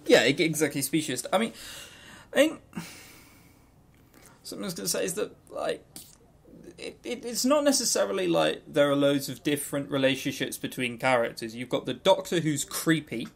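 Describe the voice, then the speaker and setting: A man co-hosting a podcast in casual chat, talking informally and haltingly with repeated words. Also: a little high, expressive, gravelly, slightly rough. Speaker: podcast co-host.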